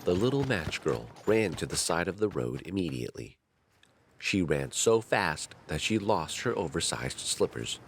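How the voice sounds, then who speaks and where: A man narrating a fairy tale in a measured, dramatic storytelling manner. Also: low voice, sing-song, a little hiss or hum.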